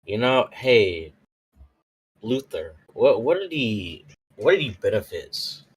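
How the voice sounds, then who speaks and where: A man's voice: fairly low pitch, sing-song, takes it slowly, breathy edge, low-quality recording. A man playing a tabletop roleplay, chatting casually and hesitantly, often restarting his phrases. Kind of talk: casual game chat.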